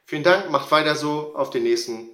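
A man's voice: on the high side, brisk, fairly bright tone. A man speaking as a fan in a recorded video message, with an echoey sound. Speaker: fan sending a question.

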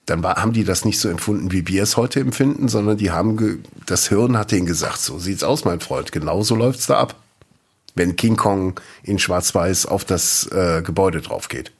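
A man co-hosting a podcast, chatting casually and telling rambling, animated stories with lots of restarts. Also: low-pitched, moderate pace, creaky, husky. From Eifel region, Germany. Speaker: podcast co-host. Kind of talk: casual chat.

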